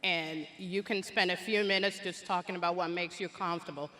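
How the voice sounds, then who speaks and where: Cuban-accented man, high and bright. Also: fairly even intonation, brisk. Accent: Cuban Spanish. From Cuba. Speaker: seminar attendee giving advice. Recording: long reverb of a big hall.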